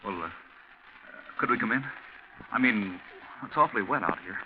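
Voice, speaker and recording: An actor playing a harried, practical man seeking shelter, polite and halting in 1940s radio drama style. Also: lowish voice, lively intonation, lightly acted. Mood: awkward, polite. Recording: muffled, noisy 1940s radio recording.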